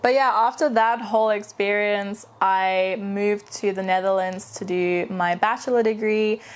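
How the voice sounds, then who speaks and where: A woman's voice: mid-range voice, steady tone, measured pace, smooth. Chatty woman with a mixed South African accent. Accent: South African with British and American traces. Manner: chatty and candid, with frequent fillers and self-corrections. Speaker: vlogger and aspiring novelist. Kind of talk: casual personal vlog.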